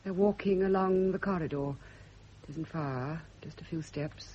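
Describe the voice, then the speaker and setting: A woman's voice: fairly low pitch, moderate pace, natural voice. An actress in a radio drama playing a bitter, jealous, obsessed woman who broods and repeats her phrases. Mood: brooding, hateful. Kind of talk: classic radio drama acting. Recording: dull, slightly noisy old radio recording.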